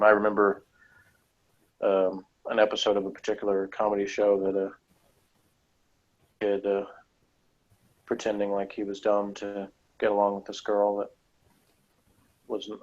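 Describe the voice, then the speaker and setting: A man chatting casually as a reviewer, talking in a rambling, halting way. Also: fairly low pitch, completely flat delivery. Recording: dull lo-fi audio.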